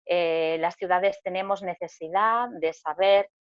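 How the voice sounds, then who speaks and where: Slow-speaking woman on a muffled call. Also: on the low side, dry recording. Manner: slow and deliberate, many filler sounds. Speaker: guest speaker, network secretary general. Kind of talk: formal presentation at a video meeting. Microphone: video call. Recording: dull lo-fi call audio.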